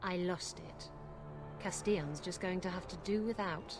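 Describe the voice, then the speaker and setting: A woman's voice: fairly low pitch, normal speed, close to an everyday voice. An actress playing a brash, sly, self-assured female rogue in a fantasy video game. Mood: defiant. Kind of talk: video game character dialogue. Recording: music behind the voice.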